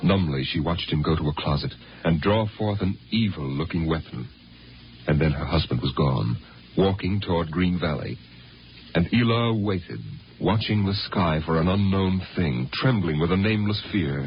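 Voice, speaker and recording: An actor narrating a 1950s radio drama as a grave, solemn and theatrical storyteller. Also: male voice, sits low, lively intonation, normal speed, a little hiss or hum. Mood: grave, suspenseful. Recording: dull 1950s radio recording with loud music.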